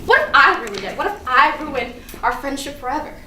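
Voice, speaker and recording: Actress as nervous guilty young woman, high lively voice, self-doubting stage comedy, roomy sound. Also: a little fast. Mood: anxious, guilty. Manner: self-doubting, repetitive, swearing. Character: anxious, guilty young woman agonizing over her messages. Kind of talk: theatrical staged reading of a comic play. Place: live staged reading in an echoey room. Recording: echoey, noisy stage recording from far off.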